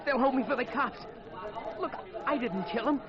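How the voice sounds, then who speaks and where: A man's voice: very high voice, normal speed. An actor playing a frightened, pleading young man of about nineteen in trouble, in a 1950s radio drama. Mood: panicked, pleading. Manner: frantic, pleading. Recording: muffled vintage radio, loud crowd behind.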